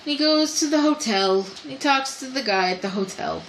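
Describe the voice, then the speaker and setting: A woman's voice: high voice, lively intonation, normal speed, slight background noise, poor audio. A woman co-hosting a movie review podcast, retelling scenes in detail while losing her voice. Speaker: podcast co-host. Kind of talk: casual movie review chat.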